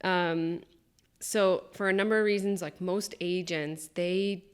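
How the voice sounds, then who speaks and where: A woman's voice: fairly low pitch, steady tone, slow, smooth. A woman hosting a podcast who asks chatty questions full of "like". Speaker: podcast host. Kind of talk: casual interview.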